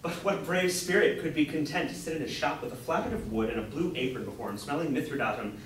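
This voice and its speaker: A young man acting a grocer's apprentice who plays a grandiose, courtly knight-errant in a staged reading of a Jacobean comedy, declaiming in high-flown style. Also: fast, close to an everyday voice. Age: young adult. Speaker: student actor. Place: indoor room, far from the mic. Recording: distant, very echoey room with faint noise.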